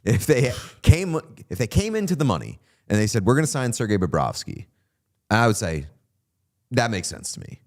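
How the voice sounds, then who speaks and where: A man's voice: medium pitch, moderate pace. A man co-hosting a hockey podcast, talking in an opinionated, casual way with lots of fillers. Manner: animated, opinionated, frequent "like" fillers. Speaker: podcast co-host. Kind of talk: casual sports chat.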